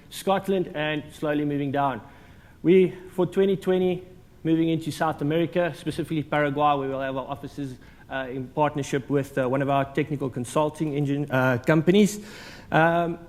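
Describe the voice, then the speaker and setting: South African male speaker, slightly high voice, casual and joking, hall with long reverb. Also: darkish tone. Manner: jokey, conversational, many ums and uhs. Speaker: conference speaker. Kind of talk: conference presentation. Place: large conference hall.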